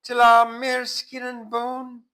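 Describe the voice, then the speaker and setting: A man's voice: completely flat delivery, slow, sharp tone, clean tone, heavily acted. A man putting on a wailing, lovelorn widow. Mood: lamenting. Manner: high wailing lament. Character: grieving plump widow woman, lovelorn.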